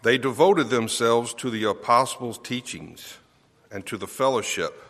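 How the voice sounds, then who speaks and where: A man reading a scripture passage aloud in a slow, measured way. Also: medium pitch, a bit bright. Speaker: scripture reader. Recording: church hall reverb.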